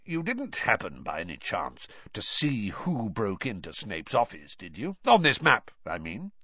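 A man voicing a gruff, wary old wizard professor for an audiobook, muttering and growling his curt remarks. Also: on the low side, huge pitch swings, measured pace, bright, forceful tone, no room sound, muffled recording, full character voice. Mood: alarmed, probing. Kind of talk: audiobook character voice.

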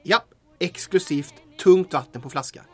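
Wry, cheeky informant over music. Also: male voice, on the high side, a bit bright, husky. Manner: teasing, wry banter. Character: cheeky male intelligence informant, wry and chatty. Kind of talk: radio drama acting.

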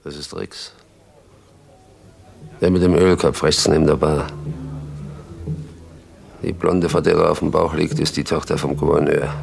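Gravelly, very deep barfly. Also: male voice, flat delivery, a little slow, pauses often, heavily acted. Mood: confidential. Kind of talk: 1960s German radio play acting.

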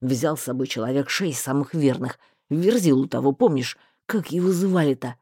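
A woman voicing a bluff, talkative male mage with a rough, folksy, rambling way of speaking. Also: very deep voice, normal speed, mellow, pitched below the performer's own voice.

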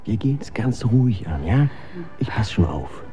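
An actor playing a tired, gently persistent young man in a radio drama, earnest and coaxing. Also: lowish voice, very animated, normal speed, dull audio. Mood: coaxing, urgent. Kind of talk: German radio drama acting. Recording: clearly noisy background ambience.

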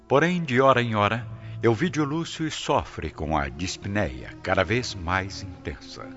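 Male radio drama narrator, deep, solemn, dramatic, scripted, muffled lo-fi sound. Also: animated, unhurried, a bit bright. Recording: dull lo-fi radio drama with music.

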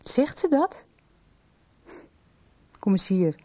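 A lonely schoolteacher in failing health for a radio drama. The voice is soft-edged and mellow at a middle pitch. The manner is intimate, forward and searching, with small hesitations. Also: female voice, sing-song, very slow, full character voice. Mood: probing, intimate. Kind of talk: Dutch radio soap acting.

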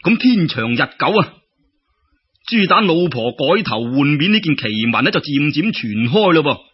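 Husky, animated Cantonese male storyteller. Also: a little high, normal speed, dull audio. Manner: theatrical, exclamatory, colloquial. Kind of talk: dramatic traditional folk-tale narration.

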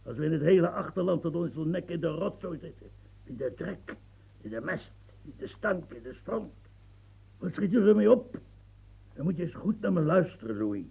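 Raspy old marshal, pitched a bit high, goes on and on, radio play. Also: male voice, animated, moderate pace, warm tone, heavily acted, poor audio. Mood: impassioned. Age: 70s. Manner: ranting, stammering when flustered. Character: old marshal of seventy-three, fiery, long-winded reformer.

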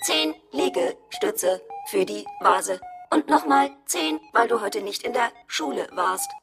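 A woman's voice: fairly high pitch, animated, takes it slowly, gravelly, music in the background, exaggerated voice. A woman playing a stern, pedantic household robot in a children's radio play, speaking in stilted, clipped commands. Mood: strict. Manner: clipped commands, stilted formal phrasing, glitchy stutter.

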